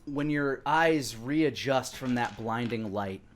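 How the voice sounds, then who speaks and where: A man's voice: mid-range voice, slow, a little hiss or hum. A man in his thirties hosting and narrating an improvised tabletop game as game master. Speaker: game master and show host.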